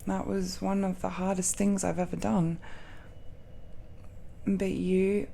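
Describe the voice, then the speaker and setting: A woman playing an affectionate, nervous dragon-blooded young woman in an intimate romantic audio roleplay, speaking softly and haltingly. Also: medium pitch, level tone, vocal fry, slight background noise. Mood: tender, shy. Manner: hesitant, halting confession with nervous laughs and sighs.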